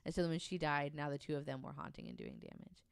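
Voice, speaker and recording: A man chatting casually on a podcast, informal and expressive as he tells stories. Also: on the high side, quick pace, gravelly, clear, resonant tone, no room sound, clean studio sound. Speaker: podcast panelist. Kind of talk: casual storytelling chat.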